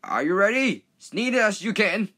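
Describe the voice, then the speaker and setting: Casting: excitable storybook railwayman, high and sharp, urgent calls performed by a man. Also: a little slow, breathy edge, exaggerated voice. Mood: urgent, instructing. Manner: urgent, exclaiming. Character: excitable passengers and driver calling out in alarm.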